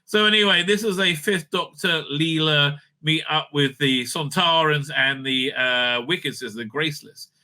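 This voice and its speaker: A man's voice: on the high side, unhurried, hardly any pauses, pushed and bright. A man hosting a casual, off-the-cuff review commentary, blunt, opinionated and sweary, rambling and repeating words as he goes. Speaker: host reviewing audio drama releases.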